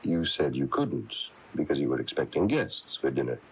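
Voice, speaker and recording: An actor playing a concerned small-town doctor in a 1980s radio drama, with a measured, gently questioning manner. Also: male voice, rumbling low, very animated, breathy edge, exaggerated voice. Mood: gently probing. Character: calm, probing small-town doctor, a concerned male confidant. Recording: dull lo-fi taped radio broadcast.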